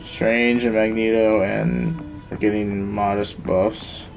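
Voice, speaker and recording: A man's voice: on the low side, little pitch movement, takes it slowly, creaky, rough recording. A man chatting casually as a game streamer, slangy and laid-back. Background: faint game music.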